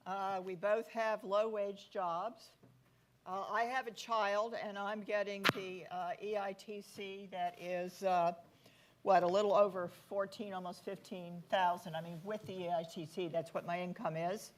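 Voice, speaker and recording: A woman's voice: mid-range voice, fairly even intonation, unhurried, noisy background. A woman asking a panelist questions at a policy forum, conversational and inquisitive, with fillers. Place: conference hall.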